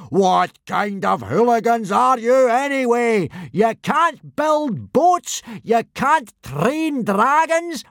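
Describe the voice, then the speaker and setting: A man voicing a burly, furious Viking teacher who booms and roars his words out slowly. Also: no room sound, clean studio sound. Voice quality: booming, roaring. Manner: slow, drawn-out shouting.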